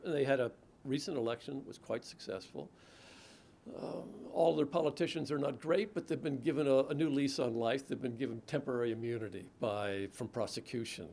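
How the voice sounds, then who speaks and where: A middle-aged man speaks as an author fielding audience questions. His voice carries a gravelly edge. He talks in a measured, reflective way and restarts his sentences often. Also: a little mellow, slight background noise. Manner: measured, thoughtful, with frequent restarts and low murmured "mm-hmm" while listening. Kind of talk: lecture Q&A answers.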